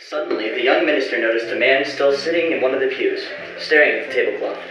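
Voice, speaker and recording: A man's voice: mid-range voice, fast, a crowd in the background. A man narrating a scripted story in the style of an old-time radio play. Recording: very echoey, distant, muffled, noisy.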